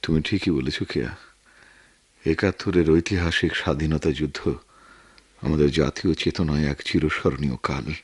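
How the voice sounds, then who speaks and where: An actor with a Bangladeshi accent playing an earnest, wounded war veteran turned writer, speaking in a measured, literary radio drama manner. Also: male voice, rumbling low, fairly even intonation, husky, dry recording. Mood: solemn, reflective. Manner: measured, reflective, literary delivery. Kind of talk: Bengali radio drama acting.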